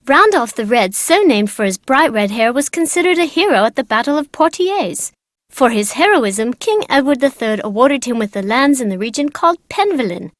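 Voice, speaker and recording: Bratty, high-voiced young girl. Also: no room sound, studio quality. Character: bratty, know-it-all young girl of an old English family. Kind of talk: video game character dialogue.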